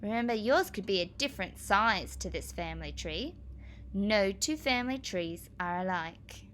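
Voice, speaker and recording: A woman teacher reading aloud to young children, explaining as she goes in a clear, expressive manner. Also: medium pitch, normal speed, slight background noise.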